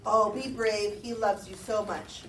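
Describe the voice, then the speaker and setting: A woman's voice: mid-range voice, fairly even intonation, measured pace, pitched below the performer's own voice, lightly acted. A woman voicing a kindly, principled uncle in a puppet show, plain and reassuring. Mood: encouraging. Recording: roomy, distant mic, faint noise.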